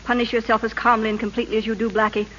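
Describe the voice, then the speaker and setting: Actress as an earnest, insistent governor's wife, muffled radio. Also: female voice, a little high, flat delivery, very fast, a bit bright, natural voice. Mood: reproachful. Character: governor's pleading, passionate, morally indignant wife. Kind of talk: old-time American radio drama acting. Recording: muffled, noisy vintage radio recording.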